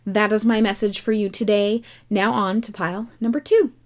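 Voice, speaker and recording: A woman giving a tarot message in a measured, coaxing way, asking the listener a string of reflective questions. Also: medium pitch, steady tone. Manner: measured, coaxing, repeats pleas. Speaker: tarot reader. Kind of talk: slow, gentle reading with rhetorical questions.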